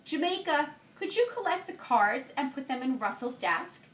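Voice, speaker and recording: A woman's voice: high-pitched, sing-song, normal speed, fairly bright tone. A woman voicing a kindly, orderly primary school teacher from a picture book, giving polite instructions. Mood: brisk, kindly. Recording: roomy, echoey home-style recording.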